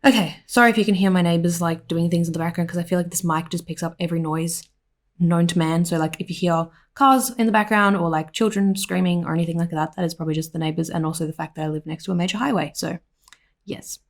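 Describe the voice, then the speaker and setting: A woman with an Australian accent, slightly hoarse, giving a rambling voiceover at home full of "like" and "um". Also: lowish voice, steady tone, fast. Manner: rambling, with many "like" and "um" fillers. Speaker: planner vlogger. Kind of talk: casual voiceover narration.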